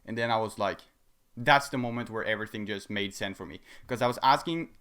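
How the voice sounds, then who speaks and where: A man's voice: on the low side, brisk, a bit bright, smooth. An eighteen-year-old man hosting a casual question-and-answer vlog, giving blunt advice and repeating his points for emphasis. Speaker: dropshipping channel host answering viewer questions.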